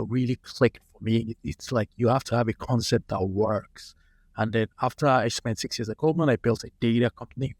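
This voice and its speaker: A man in his late thirties from Nigeria, telling relaxed stories as a founder in a casual podcast interview. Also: mid-range voice, no room sound. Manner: relaxed anecdotal storyteller. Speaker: startup founder, guest.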